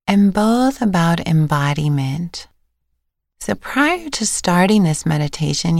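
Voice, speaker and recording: A woman meditation teacher giving gentle, unhurried guided instructions with pauses between phrases. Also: sits low, creaky, no room sound, studio quality. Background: music.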